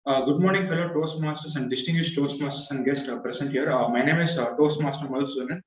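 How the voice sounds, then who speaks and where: A young man whose first language is Tamil talks through a prepared speech, stalling on fillers and restarting words. His voice sits a little high and comes across far from the mic in an echoey room. The video call audio is muffled and dull. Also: quick pace. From India. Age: young adult. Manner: hesitant, frequent uh fillers and restarts. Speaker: club member giving first speech.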